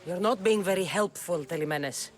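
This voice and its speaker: A woman's voice: fairly low pitch, normal speed, faint music behind, natural voice. A woman playing a wry mercenary warrior. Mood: bargaining. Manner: dry, teasing, matter-of-fact. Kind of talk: video game cutscene acting.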